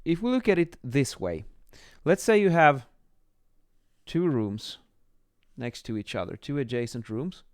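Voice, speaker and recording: A man in his thirties explaining as an instructor in an informal lesson, a little halting as they think aloud, recorded on a close microphone in a small room. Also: mid-range voice, sing-song. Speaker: acoustics instructor, presenter. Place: small home office, indoors. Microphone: microphone on boom arm, close.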